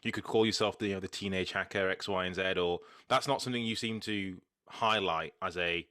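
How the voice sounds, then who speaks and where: A man's voice: deep voice, a little fast, pushed and bright, no room sound, clean studio sound. A man hosting a podcast interview, chatting casually and often repeating words while he searches for a question. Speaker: podcast host.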